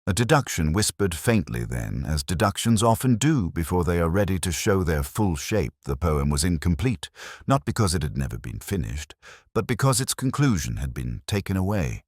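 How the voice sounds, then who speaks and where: A man with an extremely low voice narrates a detective mystery in the first person. His delivery is measured and brooding, with a lively rise and fall in pitch. The sound is close and dry, free of room echo.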